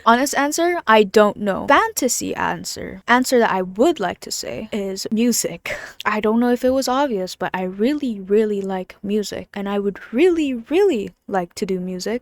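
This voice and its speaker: A woman's voice: medium pitch, no echo. Young woman from the Philippines, casual Q&A vlogger, giggly and self-deprecating, slips into whispers, dry close sound. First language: English. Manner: chatty and self-deprecating, laughs often, drops to whispers. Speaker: vlogger answering viewer questions. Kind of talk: casual Q&A chat.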